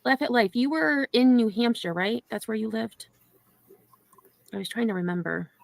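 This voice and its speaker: A woman's voice: on the high side, normal speed, gravelly, dry recording. A woman hosting a livestream, chatting casually with her viewers. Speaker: livestream host.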